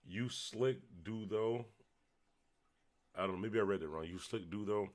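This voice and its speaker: A man from Buffalo with a Western New York accent, a little tipsy, rambling loosely as he hosts a late-night livestream and shouts out viewers. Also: fairly low pitch, unhurried. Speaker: livestream host. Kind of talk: casual livestream chat reading comments.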